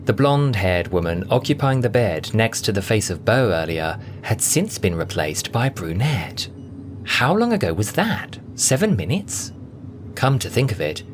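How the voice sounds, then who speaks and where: A man narrating a scripted science-fiction story with a theatrical, expressive delivery. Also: sits low, a little hiss or hum. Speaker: audiobook narrator and voice performer. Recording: loud music bed under the voice. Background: music.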